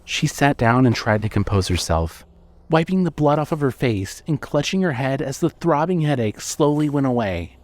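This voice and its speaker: A man narrating scripted horror fiction in a measured, dramatic storytelling manner. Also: mid-range voice, expressive, darkish tone.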